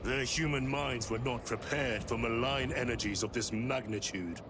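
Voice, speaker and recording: Man acting a solemn soldier amid loud noise. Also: medium pitch, close to an everyday voice. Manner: formal, measured military speech. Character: dutiful, solemn space marine sergeant. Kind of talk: cinematic video game acting. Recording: loud battlefield noise behind.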